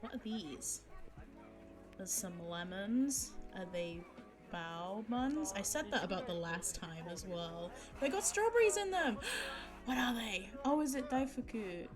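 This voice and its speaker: Young woman, streamer, chatty and giggly, fairly low voice, sore throat, music in background. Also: very animated, a little slow. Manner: chatty, giggly, self-mocking. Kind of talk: casual chat with viewers. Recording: music playing behind the voice.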